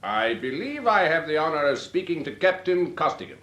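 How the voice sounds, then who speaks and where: A suave old gentleman officer, with an upper-class English accent. His speech is formal and flattering, and his pitch rises and falls extravagantly, in period radio drama style. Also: brisk. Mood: polite. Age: older. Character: suave, courteous elderly English gentleman army major.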